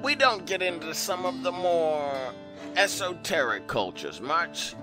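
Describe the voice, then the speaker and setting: A man narrating a story slowly and dramatically in a measured way. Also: a little high, very animated. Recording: music bed underneath.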